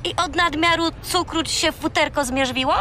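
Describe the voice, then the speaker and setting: A woman's voice: high voice, fairly even intonation, moderate pace, sharp tone, slight background noise. An actress playing a bossy, exasperated young girl who scolds and corrects in a children's radio play. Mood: indignant.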